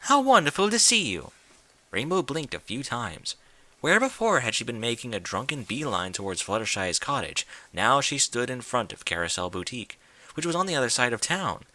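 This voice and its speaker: A man giving an expressive scripted narration of a story, as in an audiobook. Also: mid-range voice, normal speed.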